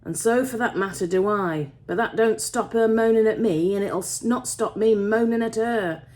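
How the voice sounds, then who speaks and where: A woman voicing a gruff, grumbling old male tin miner with a Yorkshire accent in a story. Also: a little high, normal speed, a little mellow, voice pushed up, natural voice. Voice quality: gruff, rough. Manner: dialect grammar, grumbling, emphatic repetition.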